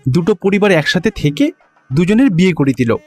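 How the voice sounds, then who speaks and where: A man's voice: high voice, big pitch swings, brisk, darkish tone, no room sound. A man narrating a scripted cartoon story as its storyteller.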